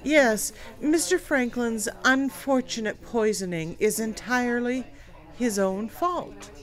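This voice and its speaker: An actress playing a clever young woman who calmly explains a solution in an audio drama. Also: on the high side, slow pace. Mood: confident. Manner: calm, quietly sure of herself. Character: Sally Andrews, sharp young woman who solves the case.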